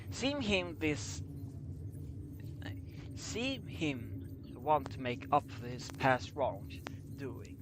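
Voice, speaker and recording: A man reading a scripted story aloud as an amateur narrator, halting and stumbling over his words. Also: a little high, noisy background. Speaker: amateur fan fiction reader.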